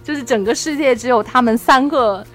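A woman's voice: sits high, fairly quick, faint music behind. A woman podcast host, chatting casually about a book and reading from it aloud.

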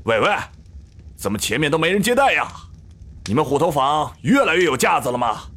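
A man playing a brash, arrogant demon soldier in an audio drama, loud-mouthed and haughty. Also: on the high side, very animated, a little fast.

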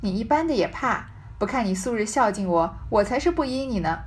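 A woman reading the part of a teasing, sharp-tongued married noblewoman in a storybook, with pointed, mock-scolding banter. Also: on the high side, lively intonation, a little fast, lightly acted.

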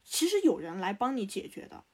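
A woman's voice: medium pitch, very animated, fairly quick, a little mellow. A young woman chatting casually and animatedly among friends, talking through imagined examples.

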